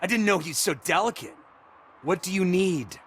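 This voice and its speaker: An actor playing a cocky, mocking young street basketball player in a video game. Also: male voice, sits high, lively intonation, moderate pace, fairly bright tone, slight background noise.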